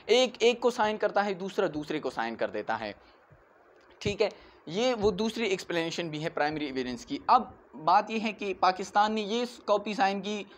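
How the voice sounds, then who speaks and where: A man in his twenties with a Pakistani accent giving an explanatory lecture, didactic, asking questions and answering them himself, switching into English legal terms. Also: high voice. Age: 20s. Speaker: law lecturer. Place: indoors in front of a whiteboard.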